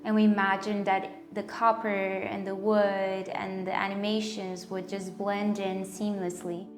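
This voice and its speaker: This is a young adult woman from Brazil who works as an architectural designer and artist. She explains her project in a calm, measured way. Her voice sounds roomy and echoey, with clear music playing underneath. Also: mid-range voice. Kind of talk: documentary interview.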